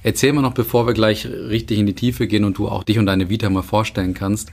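A man's voice: on the low side, level tone, fast, darkish tone. A man hosting a podcast interview who asks long, rambling, friendly questions. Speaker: podcast host. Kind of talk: conversational interview.

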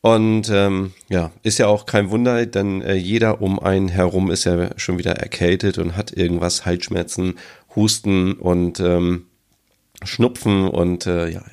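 A man's voice: sits low, flat delivery, a little slow, darkish tone. A man with a cold, hosting a casual solo podcast and filling gaps with many ums. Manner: hesitant, many ums and uhs. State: has a cold. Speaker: podcast host.

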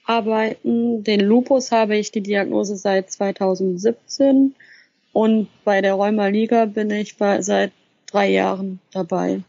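A woman's voice: medium pitch, fairly even intonation, measured pace, vocal fry, dark tone, no echo, lo-fi sound. A woman, talking conversationally as a podcast participant. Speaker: podcast participant, self-help group member.

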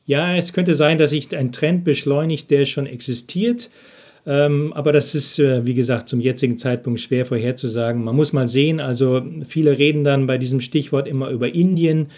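A man's voice: mid-range voice, steady tone, normal speed, a little mellow. Hesitant man on a dull remote line. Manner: hesitant, frequent filler sounds. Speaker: business expert interviewed from Japan. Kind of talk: podcast interview answers.

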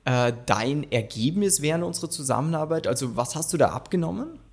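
A man's voice: on the high side, lively intonation, brisk, gravelly, slightly rough. A man, a fitness coach, hosting an interview and prompting his guest with many affirming murmurs. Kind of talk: podcast interview.

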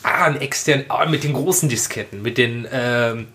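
A man's voice: mid-range voice, moderate pace, fairly bright tone. A man in his late thirties chatting casually and humorously, telling anecdotes in a colloquial, sweary, mock-dramatic way. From Germany, Rhineland. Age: late 30s. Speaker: podcast co-host.

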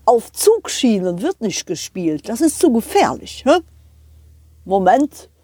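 An unhurried, good-natured train driver from a children's audio play, a man as acted by a woman. He drawls and fusses kindly, with slow phrases that swing in pitch, recorded dry. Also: sing-song, warm tone, slightly rough, no echo, heavily acted. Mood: fussing, kindly. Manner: drawn-out, chuckling, ends questions with "hä".

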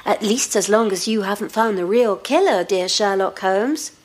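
An actress playing a curious, self-assured young daughter in an audio drama, light, breezy and teasing. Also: female voice, mid-range voice, moderate pace, a little hiss or hum, natural voice. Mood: playful, teasing. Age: young adult.

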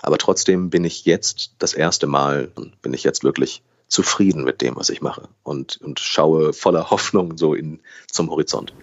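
A man's voice: very deep voice, normal speed, hardly any pauses, dull audio. A man in his late twenties, a guest in an interview, talking thoughtfully and often correcting himself mid-sentence. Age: 20s. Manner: thoughtful, restarts and self-corrections. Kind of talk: conversational interview.

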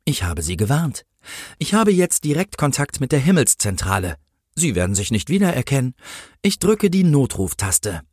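A man's voice: mid-range voice, very animated, normal speed, darkish tone, breathy edge, no echo, clean studio sound, pitched above the performer's own voice. A man voicing a pompous, easily indignant Christmas angel in a comic story, speaking in a solemn, preachy, formal way. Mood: warning.

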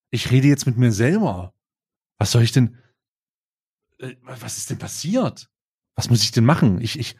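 A man's voice: mid-range voice, breathy edge. A man hosting a podcast in casual chat, chatty and hesitant, recorded over a remote call. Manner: chatty, hesitant, laughs easily. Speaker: podcast host.

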